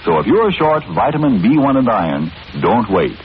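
A man's voice: medium pitch, big pitch swings, normal speed. A man announcing and advertising in the style of 1940s radio. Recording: muffled, hissy old radio recording.

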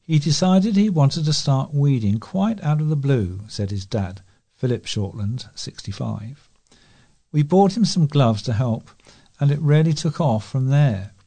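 A man's voice: medium pitch, animated, unhurried, dark tone. A man reading scripted local news as a volunteer newsreader.

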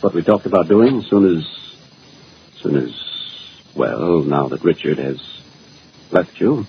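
A man playing a smooth-talking, scheming lover and blackmailer in a 1940s radio mystery. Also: low voice, lively intonation, measured pace, exaggerated voice. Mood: sly. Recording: muffled old radio, faint noise.

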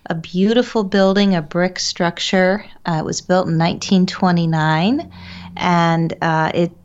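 Through a dull, lo-fi recording, a woman speaks in a fairly low voice. She gives a conversational account as an interview guest, pausing between halting phrases. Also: fairly even intonation, smooth. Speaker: guest, paranormal investigator and medium. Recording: dull lo-fi remote-sounding line.

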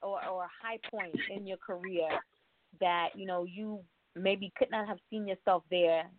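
A woman's voice: mid-range voice, fairly even intonation, measured pace. A woman hosting a casual radio interview, encouraging and full of "um" and "you know" fillers. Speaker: radio host. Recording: phone-line quality, dry.

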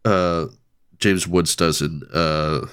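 Deep stuffed-up man, very dry. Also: slow, smooth, no room sound. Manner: relaxed, thoughtful, hesitant. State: stuffed-up nose. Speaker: podcast co-host. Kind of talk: casual film discussion.

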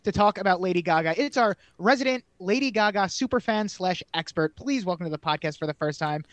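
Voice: medium pitch, lively intonation, fairly quick, no echo. A podcast host leading casual chat, upbeat and giggly, prompting guests with questions.

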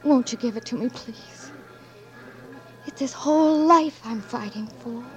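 An actress plays a determined young wife pleading urgently for her husband. Her voice sits a little high. Faint music plays behind her. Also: a little slow, highs cut off, lightly acted. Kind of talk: 1940s radio acting.

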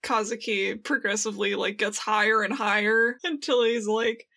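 A woman's voice: medium pitch, steady tone, normal speed, creaky, pushed and bright. A woman co-hosting a podcast, retelling things in slangy casual chat and acting out quoted lines. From United States. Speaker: podcast co-host.

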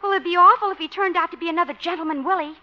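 A woman's voice: extremely high pitch, racing. An actress playing a chatty, gushing, naively worrying woman in a 1950s radio comedy. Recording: muffled old radio recording.

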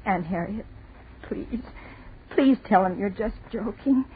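A woman's voice: medium pitch, takes it slowly, lightly acted. An actress playing a terrified, hysterical young woman, breathless and pleading, in a 1940s radio horror drama. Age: young adult. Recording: muffled, noisy 1940s radio recording.

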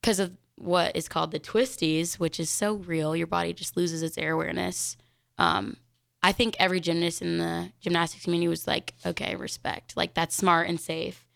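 Young woman cohost, kinda low voice, lots of vocal fry, chatty. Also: level tone, normal speed, fairly bright tone. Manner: chatty and laughing easily, heavy on "like". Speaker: radio show co-host. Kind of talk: casual radio chat.

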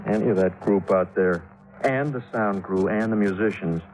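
A man's voice: deep voice, moderate pace, a little mellow, rough recording. An actor playing an affable but embittered studio engineer in his thirties in a 1950s radio drama, talking casually and confidingly. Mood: resentful, confiding. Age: 30s.

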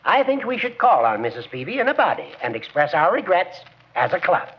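A fussy older judge with a high, swooping voice. He speaks formally and pompously, as if chairing a meeting, in a muffled 1940s radio comedy. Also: sing-song, fairly quick. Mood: earnest. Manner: pompous, orderly, wordy. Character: formal, pontificating small-town judge, fussy older man.

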